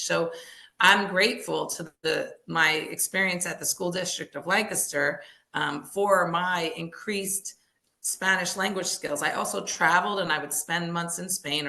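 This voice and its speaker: A woman's voice: lowish voice, creaky, sharp tone. A middle-aged woman founder answering interview questions in an earnest, explanatory way with frequent restarts. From United States. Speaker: language services founder.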